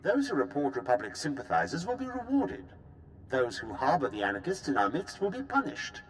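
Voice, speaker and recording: Imperious, sweeping-voiced Imperial villain. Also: male voice, fairly high pitch, wildly swooping pitch, fairly quick, a little hiss or hum, low-quality recording. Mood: menacing. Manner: pompous, threatening. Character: menacing, imperious male Imperial officer. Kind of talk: scripted video game villain acting.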